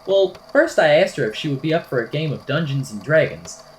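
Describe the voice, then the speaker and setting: Woman playing a wordy, whimsical, low-pitched podcaster. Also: fairly quick, a little hiss or hum. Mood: storytelling, wry. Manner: verbose, theatrical, wry deadpan. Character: eccentric, whimsical podcaster recounting a supernatural statement. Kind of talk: amateur audio drama, storytelling monologue.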